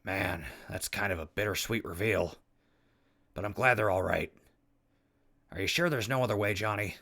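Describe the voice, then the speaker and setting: A man's voice: lowish voice, moderate pace, vocal fry, pushed and bright, heavily acted. A man playing a wry, big-hearted wasteland radio host in an audio drama, with a folksy manner. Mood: concerned. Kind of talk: post-apocalyptic audio drama acting.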